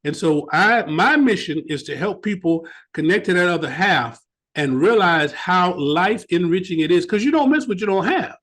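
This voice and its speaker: An American man, a guest author in an interview, telling stories in an animated way and often repeating words as he searches for them. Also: high-pitched, moderate pace. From United States. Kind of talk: interview conversation.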